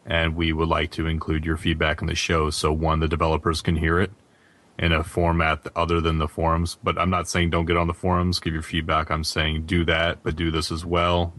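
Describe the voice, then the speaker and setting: A man's voice: rumbling low, fairly bright tone, no echo. A man hosting a gaming podcast, speaking casually and directly to his listeners. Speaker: podcast host.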